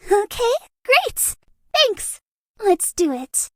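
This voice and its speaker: A woman voicing a bubbly, shy, lovesick ghost girl in a dating game, with a girlish, breathy, cutesy voice. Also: big pitch swings, drawn-out words, a bit bright, no room sound, studio quality, exaggerated voice. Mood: cheerful. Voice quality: very high, girlish voice. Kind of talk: anime-style dating game character lines.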